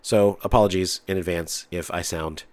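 Deep-voiced man with a nasal head cold. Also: fairly even intonation, normal speed, darkish tone, no room sound. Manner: chatty, talks a lot with restarts. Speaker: podcast host. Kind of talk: casual podcast chat.

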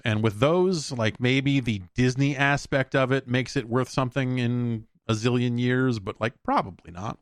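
A man's voice: medium pitch, no room sound, studio quality. A man hosting a podcast solo, chatting casually in a rambling, opinionated, profane way. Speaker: podcast host answering listener mail.

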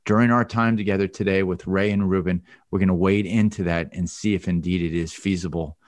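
A man hosting an interview podcast, introducing guests and asking brisk, friendly questions. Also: deep voice, fairly even intonation, no room sound, clean studio sound. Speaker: podcast host.

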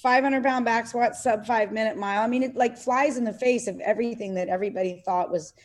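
A woman's voice: a little high, fast talker. A middle-aged woman talking casually as an interview guest, earnest and hesitant, with many fillers and restarts. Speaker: podcast guest, CrossFit athlete and parent.

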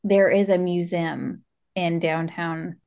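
A woman's voice: on the low side, steady tone, slow, highs cut off. A woman chatting casually as a podcast co-host and quiz contestant. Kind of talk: casual chat.

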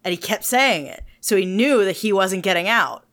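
A woman co-hosting a casual podcast chat, talking in an excitable, emphatic way. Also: mid-range voice, moderate pace. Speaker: podcast co-host.

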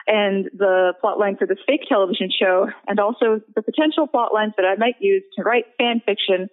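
A woman speaks casually as an interview guest, chatty and a little giggly in a self-deprecating way. Her voice sits at a medium pitch. It comes through a thin, phone-like call line. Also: brisk. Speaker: guest, audio drama writer and producer. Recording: tinny narrow phone-like line.

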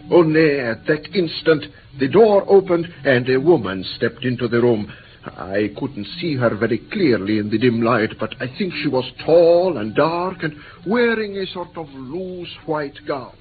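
Actor as anxious, animated Greek interpreter telling his tale. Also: medium pitch, measured pace, close to an everyday voice. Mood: excited, regretful. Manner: earnest, precise, formal phrasing. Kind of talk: radio drama acting, animated storytelling. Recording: tinny old radio recording, background hiss.

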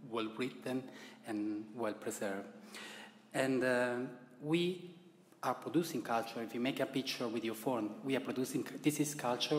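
A middle-aged man with an Italian accent, a publisher giving formal remarks at an event in a halting, measured way with broken grammar. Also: medium pitch. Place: event room. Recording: long reverb, faint room ambience.

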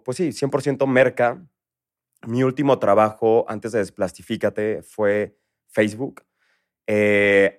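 A man in his thirties from Mexico with a Mexico City accent, a guest in a casual interview, talking in a relaxed, rambling way with many fillers. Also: lowish voice. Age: 30s. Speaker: guest entrepreneur.

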